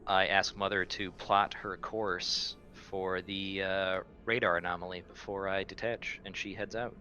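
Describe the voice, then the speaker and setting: Blunt, bright-voiced man playing a tabletop game. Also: sits low, level tone, measured pace, dull audio. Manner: swearing, blunt, bossy. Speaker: tabletop roleplaying game player. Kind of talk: casual in-game roleplay and table chat. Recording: music under the voice.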